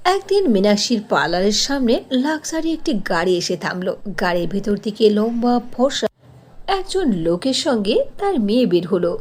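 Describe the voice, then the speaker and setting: A woman's voice: mid-range voice, sing-song, normal speed, a little mellow. A woman narrating a scripted cartoon story in a storybook style.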